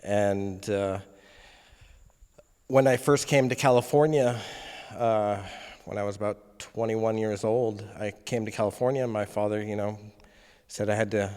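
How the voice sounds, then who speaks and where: A young man from Indonesia, a film editor being interviewed, talking casually with many pauses and fillers. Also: lowish voice, steady tone, darkish tone, clean tone. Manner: hesitant, many fillers and restarts. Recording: long reverb on voice.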